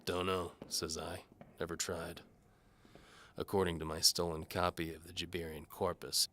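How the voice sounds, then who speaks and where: A man's voice: extremely low pitch, pushed and bright. A man narrating a fantasy audio drama in the first person with a dry, wry, hard-bitten noir delivery.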